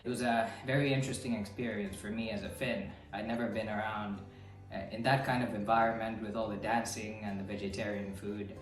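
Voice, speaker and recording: A man from Finland giving a relaxed video testimonial with frequent fillers. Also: lowish voice, a little fast, faint music behind. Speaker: interviewee in a video. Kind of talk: casual testimonial. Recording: echoey room, from a distance.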